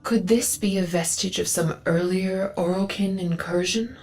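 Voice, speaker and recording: An actress playing a devout, earnest female zealot guarding a sacred forest in a video game, reverent, solemn and measured. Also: mid-range voice, noisy background, lightly acted.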